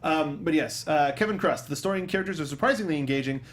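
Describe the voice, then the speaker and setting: A man hosting a casual panel discussion, speaking in a halting, self-interrupting way. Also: fairly high pitch. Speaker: host of a film review panel show.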